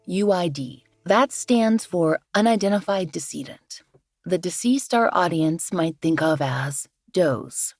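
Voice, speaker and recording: A woman's voice: fairly low pitch, gravelly, darkish tone, no room sound, studio quality. Scratchy-voiced woman hosting a podcast. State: scratchy voice from the flu. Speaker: podcast host. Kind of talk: scripted true-crime narration.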